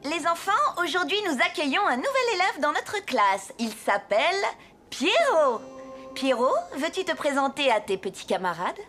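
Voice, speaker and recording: Very high-voiced cartoon schoolteacher. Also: female voice, big pitch swings, moderate pace, a bit bright, soft background music. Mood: brisk, patient. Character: cheerful, indulgent schoolteacher woman. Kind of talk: children's animated cartoon dub.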